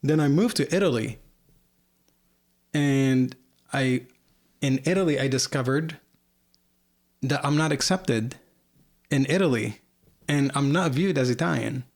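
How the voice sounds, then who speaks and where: A man's voice: mid-range voice, unhurried, long pauses, darkish tone. A man from Lebanon, talking as a guest at home in a candid, rambling way, full of "like" and "you know.". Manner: candid, rambling, filler-heavy with frequent "like". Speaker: podcast guest. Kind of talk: casual conversation.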